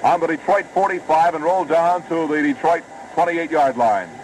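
A man calling a football game play by play on live radio, brisk and rising with the action, on a noisy old broadcast recording. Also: fairly high pitch, a little mellow. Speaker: play-by-play announcer.

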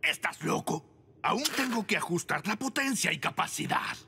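Cranky old cartoon inventor, latin american spanish, creaky high voice that jumps around, music blaring behind. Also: male voice, animated, normal speed, noisy background. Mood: irritated. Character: grumpy old male inventor, feisty. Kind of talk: animated series dubbing.

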